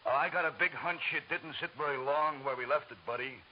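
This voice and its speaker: An actor playing a dopey working-class mover in an old radio drama, slangy, offhand and a little stammering. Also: male voice, a little high, brisk, close to an everyday voice. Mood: puzzled, wary. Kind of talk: 1940s radio acting. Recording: muffled, hissy old radio recording.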